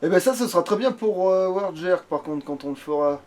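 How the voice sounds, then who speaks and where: A man's voice: high voice, expressive, brisk, a little mellow. A man in casual gaming chat, thinking aloud in a rambling way as a let's player.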